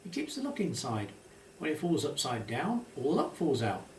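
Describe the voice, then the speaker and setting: A fond, excitable old village grandmother from a storybook , played by a male reader. The pitch is lifted and the talk is folksy. It sounds roomy and slightly off the microphone. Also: moderate pace, slight background noise. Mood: fond, explaining. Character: affectionate, excitable old West Country grandmother. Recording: roomy, echoey, distant home recording.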